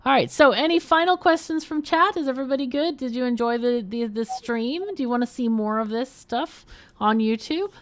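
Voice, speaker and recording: A woman's voice: high-pitched, brisk, dry recording. A woman hosting a game livestream, chatting casually and frankly as she plays and talks with guests. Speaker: livestream host playing a game. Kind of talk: casual live game commentary and chat.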